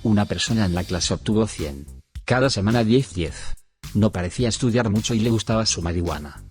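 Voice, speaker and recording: A man reading internet stories aloud in a steady, even scripted narration. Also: on the low side. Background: soft background music.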